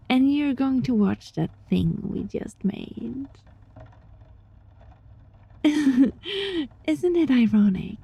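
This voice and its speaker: Breathy, slow-talking kitsune seductress played by a woman. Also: fairly high pitch, dry recording, lo-fi sound, exaggerated voice. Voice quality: breathy, often dropping into whisper. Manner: slow, teasing, softly menacing. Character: sly, teasing female kitsune fox-spirit roommate, controlling yet gentle. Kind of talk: ASMR roleplay, scripted monologue addressed to listener.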